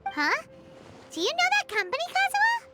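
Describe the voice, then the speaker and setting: An extremely high, childlike fairy sidekick from a video game. She is chirpy and excitable, and her pitch swoops wildly over music. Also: moderate pace, heavily acted. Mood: curious. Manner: chirpy, talks of herself in third person. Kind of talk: animated video game dialogue.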